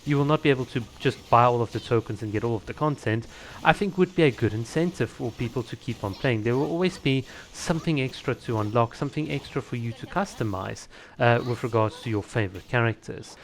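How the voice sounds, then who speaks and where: A man's voice: mid-range voice, fairly quick, a little hiss or hum, low-quality recording. Man giving casual gaming opinion commentary. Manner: explanatory, lays out ideas with examples.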